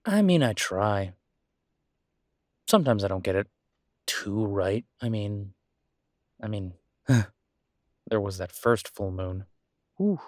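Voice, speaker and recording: A man's voice: lowish voice, lively intonation, slow pace, pauses often, no echo, clean studio sound. A man playing a devoted, reassuring human boyfriend in an intimate roleplay audio, speaking casually and hesitantly. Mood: sheepish, amused. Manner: hesitant, casual, soothing, with soft chuckles. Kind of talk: roleplay audio acting, intimate one-sided conversation.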